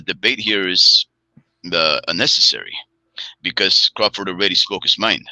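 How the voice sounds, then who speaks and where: Deep-voiced man, hesitant and formal. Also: bright, forceful tone, no room sound, low-quality recording. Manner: hesitant, lots of uh fillers, formal wording. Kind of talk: measured casual boxing discussion.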